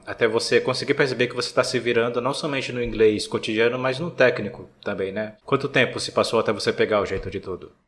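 A man hosting a podcast, asking long, careful questions in a relaxed, conversational way. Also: on the low side. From Brazil. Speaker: podcast host. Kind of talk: casual podcast interview.

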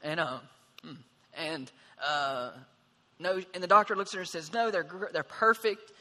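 A man in his twenties earnestly telling his story, pausing with fillers. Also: fairly high pitch, sharp tone, long reverb tail. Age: 20s. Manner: measured, pauses with fillers. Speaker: husband sharing testimony.

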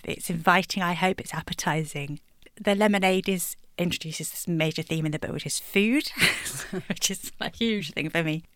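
A woman's voice: fairly low pitch, a bit bright, no room sound. A woman poet from England answering interview questions thoughtfully, laughing lightly between thoughts. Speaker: poet guest.

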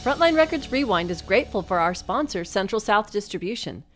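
Woman, scripted sponsor announcer, animated intonation, mid-range voice. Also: mid-range voice, fairly quick, soft background music.

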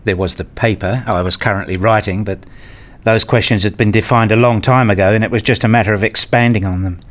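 A man's voice: lowish voice, steady tone, fairly quick, natural voice. A man playing a curious, self-deprecating researcher and father in a scripted radio play, also narrating it. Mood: earnest.

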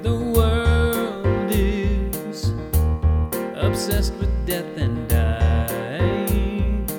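A woman singing a slow, smooth gospel country song. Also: mid-range voice, loud music behind the voice. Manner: sung slowly and smoothly. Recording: singer over loud band backing.

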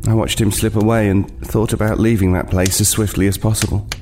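An actor playing an uneasy homeless man who narrates his horror tale in a halting, brooding way. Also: on the low side, flat delivery, background noise, close to an everyday voice. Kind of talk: dramatic first-person horror narration. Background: music.

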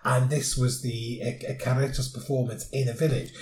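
Male host, mid-pitched, rambling and descriptive; echoey room, slight background noise. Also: little pitch movement, moderate pace, a little mellow. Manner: descriptive, lots of fillers. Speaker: podcast host. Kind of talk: casual chat.

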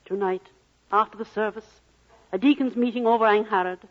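A woman's voice: medium pitch, fairly even intonation, fairly quick, lightly acted. A middle-aged woman acting a plain-spoken, loving miner's wife and mother in an old radio drama. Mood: sorrowful. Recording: dull old 1940s radio recording.

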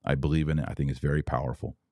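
A man with a low, mellow voice, recorded close and dry. He speaks as a religious commentator hosting his own show. His delivery is emphatic and instructive, laying out points for listeners like a teacher. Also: fairly even intonation, racing, no room sound. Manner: emphatic, didactic, explaining by analogy.

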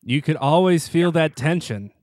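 A man's voice: on the high side, expressive, moderate pace, background noise. A man hosting a podcast, reading prepared interview questions with a fan's eagerness. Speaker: podcast host.

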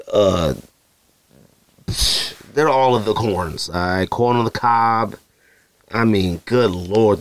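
A man's voice: medium pitch, no echo. A married man hosting a sneaker podcast, talking casually and jokingly straight to his listeners. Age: adult, married. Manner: animated, joking, direct address to listeners. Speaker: podcast host. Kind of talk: casual solo podcast chat with ad read.

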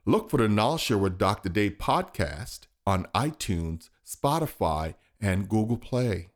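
Male podcast host, scripted outro, brisk, sponsor reads, slightly low voice. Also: very animated. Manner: brisk, reads sponsor plugs and web addresses.

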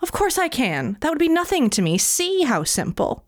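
A woman voicing the devil, a calm, cold male stranger who speaks in a measured way, as a storyteller plays him. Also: sits high, dry recording, studio quality, voice pushed up. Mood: cool.

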